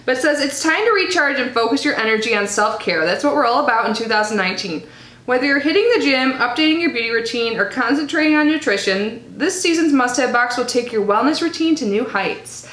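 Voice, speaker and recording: A young woman with a slightly high voice talks through an unboxing in a relaxed, rambling way. Her voice is noticeably nasal from allergies. The room is echoey. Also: a little fast. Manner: chatty and bubbly, lots of likes and ums. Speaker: unboxing vlogger. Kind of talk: casual unboxing chat.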